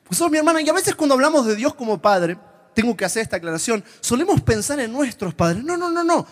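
A man from Argentina with an Argentine accent, preaching a sermon to a congregation and telling humorous stories with lively, animated delivery. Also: extremely high pitch, fast talker. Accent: Argentine Spanish. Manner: humorous, animated storytelling, shifts to whispers. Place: church before a live congregation.

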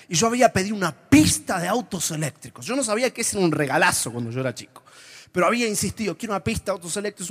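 A man from Argentina with an Argentine accent, preaching a sermon to a congregation and telling humorous stories with lively, animated delivery. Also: high-pitched. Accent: Argentine Spanish. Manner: humorous, animated storytelling, shifts to whispers. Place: church before a live congregation.

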